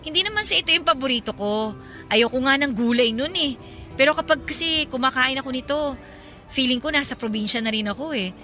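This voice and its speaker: Stubborn young provincial working woman, radio drama, high bright voice, practical and chatty, music underneath. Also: animated, brisk. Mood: fond, homesick.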